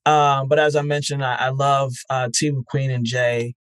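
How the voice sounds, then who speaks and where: A man's voice: medium pitch, level tone, moderate pace, smooth, dry recording. Earnest, thoughtful man with a dry voice. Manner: thoughtful, earnest, many "um"s and restarts. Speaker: podcast festival founder, guest. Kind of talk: casual interview talk.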